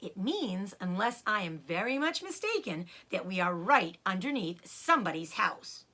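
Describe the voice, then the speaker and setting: A woman voicing a clever, excitable and loving father fox in a storybook, with proud exclamations, commands and cautious hushes. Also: mid-range voice, normal speed. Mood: hushed.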